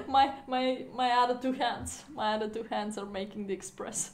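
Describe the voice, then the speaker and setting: Woman streaming chess from home, bit high voice, laughs a lot, chatty. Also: a little slow. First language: Bulgarian. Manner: chatty, laughing, non-native phrasing. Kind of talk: casual live-stream commentary. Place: indoor room at home.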